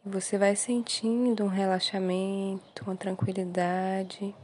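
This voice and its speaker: A slow-speaking Brazilian woman leads a guided meditation. Her delivery is gentle and soothing, with brief words of encouragement between instructions. A faint ambient noise sits behind her voice. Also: mid-range voice, little pitch movement. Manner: soothing, gentle, unhurried with short encouraging phrases.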